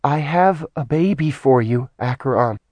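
A man's voice: mid-range voice, lively intonation, measured pace, darkish tone, no echo, pitched above the performer's own voice. A man voicing a haughty, vain goddess who is cold and proud, with a commanding manner. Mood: coaxing.

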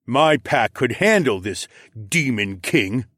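A man's voice: mid-range voice, animated, takes it slowly, a bit bright, no room sound, clean studio sound. A man voicing a protective, stubborn male werewolf pack leader in an audiobook, hesitant and placating in manner. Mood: defensive, insistent. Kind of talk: audiobook character dialogue.

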